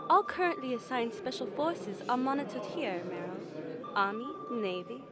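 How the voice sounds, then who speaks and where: A woman's voice: a little high, sing-song, normal speed, a crowd in the background. An actress playing a polite, friendly young female military analyst in an audio drama, with people heard behind her.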